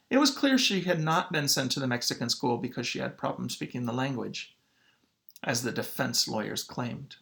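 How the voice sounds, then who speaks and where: A man reading a children's book aloud as a teacher, with dramatic, emphatic phrasing and pauses. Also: sits high, creaky, sharp tone. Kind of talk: expressive children's read-aloud.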